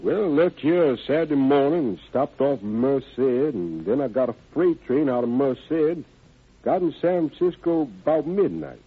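Husky, folksy suspect on old radio. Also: male voice, mid-range voice, sing-song, a little slow. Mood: evasive, hesitant. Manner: folksy ungrammatical talk, polite yes-sirs. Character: evasive, deferential working man under questioning, Willie. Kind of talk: 1940s-50s radio drama acting. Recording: tinny band-limited old radio recording.

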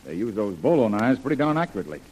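A calm male jungle hero in a vintage radio adventure. His voice is fairly low and rough-edged. He sounds watchful and understated. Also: rapid-fire. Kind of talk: 1940s radio serial acting. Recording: old radio serial recording.

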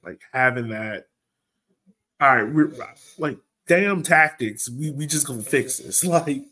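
A man's voice: mid-range voice, animated, a little slow, pushed and bright, breathy edge. A man co-hosting a sports podcast, recapping a match in a colloquial, exasperated way. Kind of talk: casual post-match soccer discussion.

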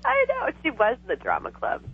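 A woman's voice: fairly high pitch, huge pitch swings, brisk, vocal fry, slightly rough. A woman guest on a radio talk show, chatting casually over the phone, laughing often and filling her speech with "you know". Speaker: psychic guest. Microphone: telephone. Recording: tinny phone line.

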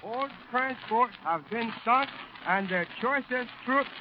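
High-voiced, broken-English scout. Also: male voice, animated, full character voice. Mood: proud, solemn. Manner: slow, broken English, deliberate reading. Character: local islander scout reading enemy propaganda aloud. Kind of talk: 1940s radio drama acting. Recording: muffled, noisy 1940s radio recording.

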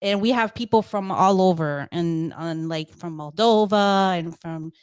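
A woman's voice: lowish voice, a little slow, smooth. Chatty young woman on a podcast. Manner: chatty, laughs easily, trails off with fillers. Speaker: podcast co-host. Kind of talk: casual chat.

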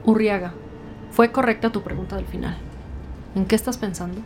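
A woman's voice: medium pitch, moderate pace, a little hiss or hum, lightly acted. A woman playing a composed, businesslike senior detective in an audio drama. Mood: brisk, analytical. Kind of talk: dramatic podcast dialogue.

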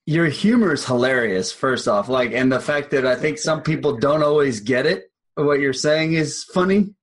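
A man's voice: mid-range voice, normal speed. A young male podcast host talks in a casual, eager way. He repeats his points and says "like" a lot. He is recorded on a close mic with a dry sound and no room echo. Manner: enthusiastic, repeats himself, says "like" often.

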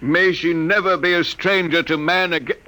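An actor playing a frail, courtly elderly doctor in an old radio drama, with a hesitant, stammering delivery. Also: male voice, high-pitched, steady tone, normal speed, background noise, lightly acted. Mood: courteous, strained. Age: older. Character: kindly, frail elderly doctor, a lonely gracious host. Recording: vintage radio drama recording.

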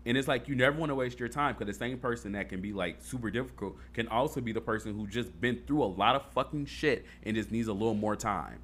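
A man's voice: mid-range voice, quick pace, few pauses, fairly bright tone, slight background noise. A man in casual chat, talking earnestly and rambling, with fillers and swearing.

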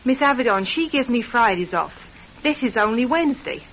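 An actress playing a plain-spoken, wary household maid with a folksy, blunt manner in an old-time radio drama. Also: female voice, fairly high pitch, expressive, fairly quick, fairly bright tone. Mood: skeptical. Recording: muffled vintage radio recording.

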